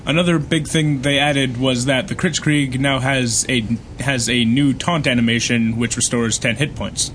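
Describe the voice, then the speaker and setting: A man's voice: medium pitch, steady tone, normal speed, few pauses, a bit bright, slight background noise. A man giving information casually during a group chat recorded live at an event. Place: live podcast recording at a convention. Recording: faint live convention ambience.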